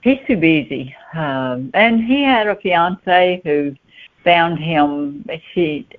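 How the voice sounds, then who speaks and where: A woman giving plain-spoken, halting answers as a guest novelist in a conversational interview. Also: deep voice, lively intonation. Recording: muffled, thin phone-line sound.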